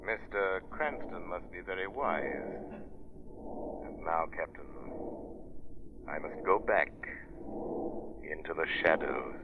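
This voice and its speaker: Low-voiced, commanding mystery avenger. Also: male voice, slow pace, pauses often. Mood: calm mysterious. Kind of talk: 1930s radio acting. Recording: noisy, dull 1930s radio recording.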